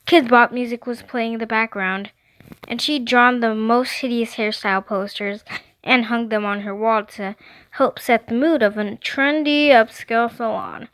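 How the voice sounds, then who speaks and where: Woman as a high-voiced dramatic teenage girl diarist. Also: normal speed, lightly acted. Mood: wry. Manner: melodramatic, expressive, emphatic. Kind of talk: first-person audiobook diary narration.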